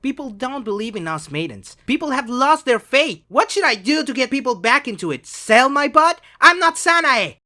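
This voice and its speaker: Exasperated young shrine maiden from a cartoon, bright voice, really swingy pitch. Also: female voice, sing-song, normal speed, hardly any pauses, full character voice. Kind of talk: animated series dub dialogue.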